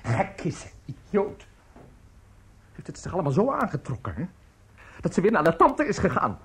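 A man's voice: high voice, wildly swooping pitch, normal speed, slightly rough, exaggerated voice. An actor playing a bitter middle-aged opera teacher in a radio drama, theatrical and maudlin, stammering and repeating his words. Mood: broken, despondent.

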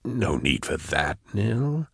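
A man voicing a smooth, falsely kindly prefect in an audiobook, soft and whispery, with measured, condescending phrasing. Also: fairly low pitch, big pitch swings, no room sound, studio quality, heavily acted. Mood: calmly condescending. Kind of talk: audiobook character acting.

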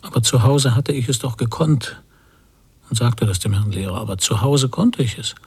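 An anxious, inward-looking emigrant in a literary radio drama. His voice is very husky and breathy with a gravelly creak, often close to whispering, and he speaks in halting, brooding fragments. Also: mid-range voice, a little mellow. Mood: ashamed, anxious. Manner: halting, introspective, short fragments. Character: anxious, brooding emigrant husband haunted by memories.

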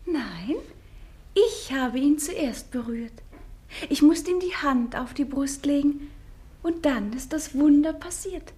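Timid, high-voiced naive wife. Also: female voice, animated, slow pace, dark tone. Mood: innocent wonder. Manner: meek, hesitant, childlike simplicity. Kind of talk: German radio drama acting.

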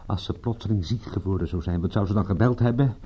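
A man's voice: on the low side, fast, warm tone, husky, lo-fi sound. An actor playing a tough, impatient and shrewd male private detective in a radio drama, commanding and full of pointed questions. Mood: impatient, irritated. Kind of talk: radio drama acting in Dutch.

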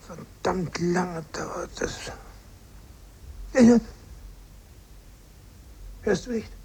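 An actor playing a selfish, anxious, ailing husband in a mid-century radio play, fretful and demanding. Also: male voice, high-pitched, slow. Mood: tense, impatient. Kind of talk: 1950s German radio play acting. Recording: old radio recording, faint noise.

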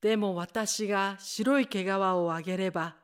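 Radio play snow spirit, acted by a woman: medium-pitched, composed, commanding female voice, native Japanese. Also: fairly even intonation, brisk, clear, resonant tone, lightly acted. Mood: soothing. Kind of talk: radio drama acting.

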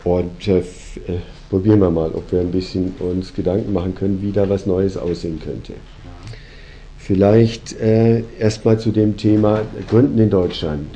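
A man hosting a conversation, a company founder who lectures reflectively and argues his points with many pauses and fillers. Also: deep voice, fairly even intonation, warm tone. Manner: reflective, argumentative, hesitant with fillers.

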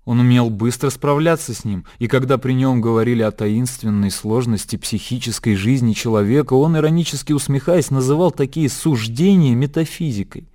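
Mellow male radio drama narrator. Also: medium pitch, a little fast, hardly any pauses.

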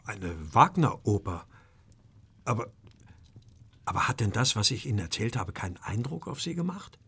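A man playing an earnest, anxious English gentleman recounting his ordeal in a formal, deferential way in a German audio drama. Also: lowish voice, very animated, moderate pace, slightly rough, full character voice.

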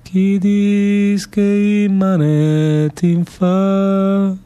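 Defiant jailed man, northern Italian accent, very slow delivery, slightly high voice, radio theatre. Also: little pitch movement, warm tone, smooth. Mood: wistful, defiant. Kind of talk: stage radio drama, slow sing-song dialect verse.

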